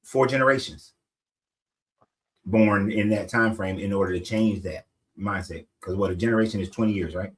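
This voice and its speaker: A man talking in a casual discussion. He reasons aloud in a measured way, checking in with rhetorical questions. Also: fairly low pitch, a little hiss or hum.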